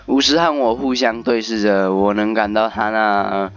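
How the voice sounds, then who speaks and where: A man's voice: fairly low pitch, steady tone, moderate pace, clear, resonant tone. A man doing a casual let's play, reading game text aloud and commenting on it. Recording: dull lo-fi mic, game music behind. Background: game music.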